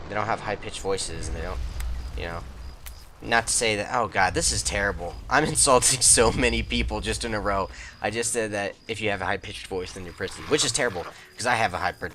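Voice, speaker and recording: A man's voice: on the low side, background noise. A young man hosting a let's play, chatting casually and rambling with self-deprecating jokes while he plays. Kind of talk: casual gameplay commentary.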